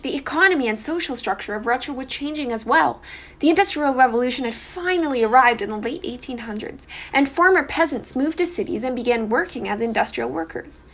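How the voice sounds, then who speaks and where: Young female student narrator, high-pitched, scripted explainer delivery.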